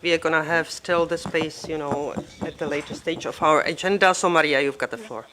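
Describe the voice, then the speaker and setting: A woman chairing a meeting, briskly calling the next speakers and handing out the floor. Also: low-pitched, background noise.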